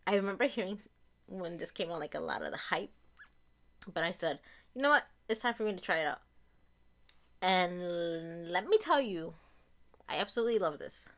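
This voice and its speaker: A young woman with a fairly low voice, a bit raspy from a lingering cold. She chats casually through her used-up beauty products, often repeating and correcting herself as she goes. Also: expressive, moderate pace, pauses often, fairly bright tone. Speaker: beauty vlogger.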